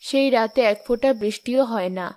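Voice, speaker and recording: A woman's voice: on the high side, a little fast, a little mellow. A woman narrator reading a scripted children's story.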